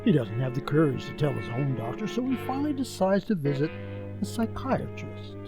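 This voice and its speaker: A man's voice: a little high, expressive, brisk, creaky. A man playing the dramatic, ominous storyteller of an old-time radio mystery. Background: music with brass.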